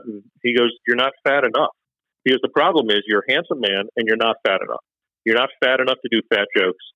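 A man's voice: mid-range voice, no room sound. A man, a stand-up comedian, chatting casually in a rambling, self-deprecating way with lots of fillers and restarts. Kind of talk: casual conversation. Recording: dull, dry, lo-fi sound.

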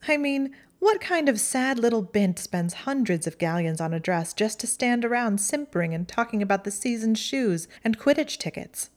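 Woman doing a proud teenage witch girl, mocking, acidic, voice climbing high, storybook reading. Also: animated, normal speed, few pauses, natural voice.

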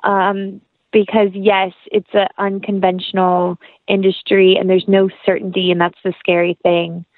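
A woman talks as a guest in a conversational interview, earnest and encouraging. Her voice sits at a medium pitch. It comes through a narrow, phone-like connection with no room sound. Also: little pitch movement, a little slow, no echo.

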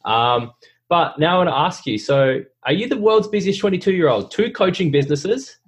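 Husky-voiced male podcast interviewer. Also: sits high, lively intonation, normal speed. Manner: friendly, chatty interviewer. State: hoarse from a cold. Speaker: podcast host. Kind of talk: interview questions.

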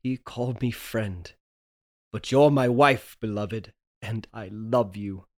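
A man's voice: fairly low pitch, slow pace, a little mellow. A man playing a frantic, guilt-ridden husband who dotes on his wife in a melodramatic, pleading horror radio play. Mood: hushed, obsessive. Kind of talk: 1930s horror radio play table read.

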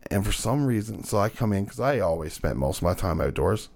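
A man co-hosting a podcast in casual chat, with a loud voice that carries, profane and fond of telling stories. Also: on the low side, big pitch swings, brisk, slight background noise. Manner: profane, storytelling, laughing often. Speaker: podcast co-host.

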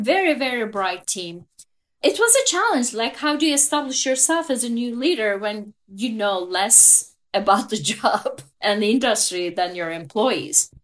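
A woman in her fifties from Turkey with a Turkish accent, a guest in an interview telling her career story reflectively, with frequent small laughs. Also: on the high side.

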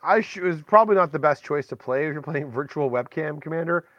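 A man's voice: a little high, expressive, a little fast, no room sound, dull audio. A man hosting a podcast, telling stories in a casual, chatty way. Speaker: podcast host. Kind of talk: casual storytelling chat.

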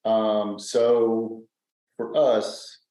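A man in his fifties with a fairly low voice talks loosely in a casual podcast chat, rambling and stopping on plenty of fillers. The room around him has a noticeable echo. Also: very slow. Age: 50s. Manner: relaxed, rambling, with many fillers. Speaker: podcast co-host. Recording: echoey room.

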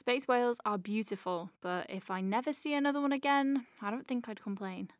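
A woman playing an earnest, gently wry space-clinic doctor in an audio drama, conversational, self-deprecating and a little hesitant. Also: on the high side, fairly quick, natural voice. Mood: wry, relieved.

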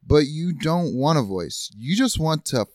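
Scolding, accusatory husband played by a man, scene-reading style, very animated delivery, dry sound. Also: fairly high pitch, a little slow, no echo. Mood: contemptuous. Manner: scornful, emphatic, accusatory. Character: bitter, scolding husband in a marital fight.

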